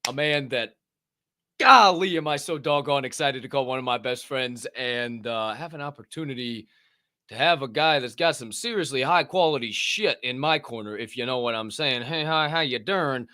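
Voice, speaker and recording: A man hosting a casual talk show, energetic and profane, with big hype-man intros and a voice a bit hoarse after a long weekend. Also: mid-range voice, normal speed. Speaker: podcast host.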